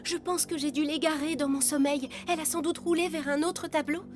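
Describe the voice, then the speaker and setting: A woman's voice: sits high, fairly even intonation, a little fast, natural voice. A woman voicing a polite, anxious young woman in a cartoon, courteous, formal and earnest. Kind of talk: French animated cartoon dub. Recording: music behind the voice. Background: music.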